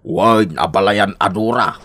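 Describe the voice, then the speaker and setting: An older in-law on a visit in a radio comedy drama. His voice is on the low side, and he explains himself politely. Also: a little slow, a bit bright. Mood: sociable.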